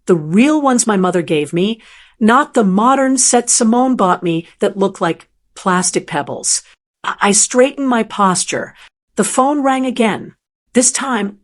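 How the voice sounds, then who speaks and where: A woman in her sixties narrating a first-person story in a calm, measured, wry manner. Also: medium pitch. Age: 60s.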